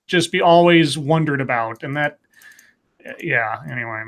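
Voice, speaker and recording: A man's voice: on the high side, a little slow. A middle-aged man giving casual opinions in an emphatic, sweary way. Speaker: podcast guest.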